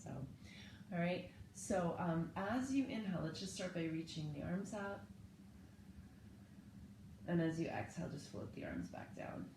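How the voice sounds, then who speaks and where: Low-voiced, slightly hoarse woman guiding yoga. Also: a little slow, pauses often. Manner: calm, gentle cueing with breath. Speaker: yoga and meditation teacher. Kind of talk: guided yoga instruction. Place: living room at home, indoors. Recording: echoey home room, faint background noise.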